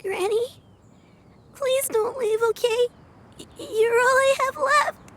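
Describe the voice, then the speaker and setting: A woman's voice: very high-pitched, slow pace, a bit bright, slight background noise, exaggerated voice. An actress voicing a devoted young girl in a video game, speaking in a pleading, tearful way.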